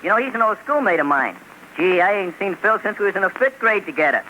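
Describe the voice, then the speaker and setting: A cocky young street tough in a 1940s radio comedy, talking in bad-grammar slang. His voice sits very high, and the old broadcast hisses with noise behind him. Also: expressive, fast talker, sharp tone, low-quality recording. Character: brash young male street tough, dim-witted wisecracker.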